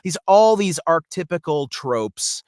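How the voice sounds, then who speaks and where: Dry-sounding man, animated voice coach. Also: on the high side, unhurried, dry recording. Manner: animated, acts out examples as he explains. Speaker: voice actor and coach. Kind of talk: conversational interview storytelling.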